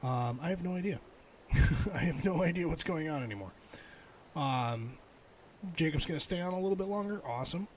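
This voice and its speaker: A man's voice: on the high side, expressive, brisk, slight background noise. A middle-aged man livestreaming a game, thinking aloud and chatting casually with viewers. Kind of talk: casual live game stream chat.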